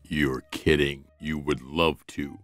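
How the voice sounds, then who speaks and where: A man playing a big, world-weary guard dog in a gruff, deadpan cartoon voice. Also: extremely low pitch, slow, faint music behind, exaggerated voice. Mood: stern, deadpan. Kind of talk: comic dub cartoon acting.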